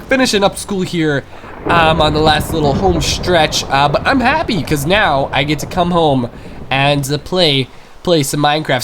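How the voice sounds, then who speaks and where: Young male gaming YouTuber, congested from a cold, upbeat rambling let's-play chatter, voice on the higher side. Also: noisy background. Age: young adult. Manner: chatty and excitable, many fillers. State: stuffy from a cold. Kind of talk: casual Minecraft let's play commentary.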